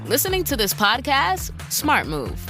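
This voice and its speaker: A woman's voice: high-pitched, huge pitch swings, a little slow, pushed and bright. A woman announcer reading a scripted advertisement. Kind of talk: scripted ad read. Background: music.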